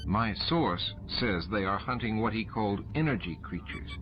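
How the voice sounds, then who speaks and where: A man reading an audiobook, voicing a calm, authoritative male station commander in a measured way. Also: lowish voice, dull audio, over music.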